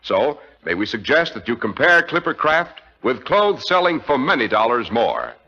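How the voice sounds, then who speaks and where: A man's voice: mid-range voice, wildly swooping pitch, normal speed, sharp tone. A man announcing in a polished, emphatic, scripted style as host of a 1940s radio show. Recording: dull vintage 1940s radio recording.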